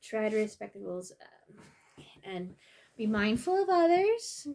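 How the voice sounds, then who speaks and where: A woman's voice: medium pitch, big pitch swings, a little slow, a little mellow. A woman hosting a casual read-aloud storytime, making wry, slightly hesitant and apologetic asides. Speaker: storyteller and video host.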